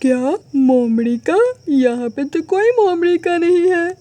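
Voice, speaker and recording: A woman's voice: very high-pitched, animated, measured pace, mellow, no echo. A woman voicing a devoted, hardworking, polite young man who is a poor street seller in a cartoon moral story. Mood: kindly, polite. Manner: earnest, dutiful, polite.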